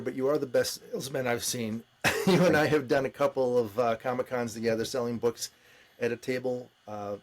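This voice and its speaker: An American man with a medium-pitched voice hosts an interview podcast. He talks in a casual, conversational style with his guest. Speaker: podcast host.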